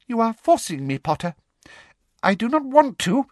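Man putting on a prim, menacing woman official, high-pitched. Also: sing-song, moderate pace, darkish tone, dry recording, studio quality. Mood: agitated, resolute. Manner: breathy, agitated, self-justifying. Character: prim, cruel middle-aged woman official, gleefully menacing.

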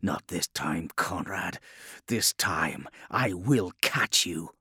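A proud and savage commander performed by a male narrator, growling and imperious. The delivery is forceful and swings widely, as in a dramatic science fiction audiobook, with a dry, close sound. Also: lowish voice, animated, slow, no echo, full character voice. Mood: vengeful, resolute.

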